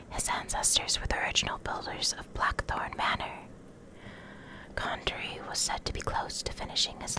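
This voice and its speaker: A woman reading in a soft, breathy whisper for ASMR, in short deliberate phrases with pauses, close to the microphone. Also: rough recording. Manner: slow, deliberate, pausing between short phrases. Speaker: ASMR video creator reading game lore. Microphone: Blue Yeti desktop microphone, close up.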